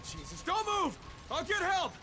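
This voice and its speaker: Game protagonist: incredulous young American, high voice, wildly animated delivery, sarcastic, loud music bed. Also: male voice, unhurried, heavily acted. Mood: alarmed, angry. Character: incredulous, rattled male newcomer hero, wry and profane. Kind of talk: video game dialogue acting.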